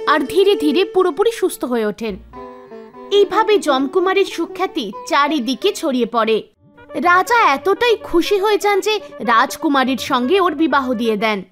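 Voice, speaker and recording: A woman narrating an animated folk tale in a scripted storytelling style. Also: high voice. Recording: music under the voice. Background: background music.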